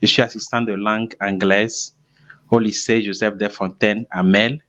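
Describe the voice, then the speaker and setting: Ghana-born guy hosting a chat podcast, slow and hesitant, lo-fi muffled call sound. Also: on the low side, level tone, a bit bright. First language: English. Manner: hesitant, slow, many fillers. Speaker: podcast host. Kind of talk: casual chat.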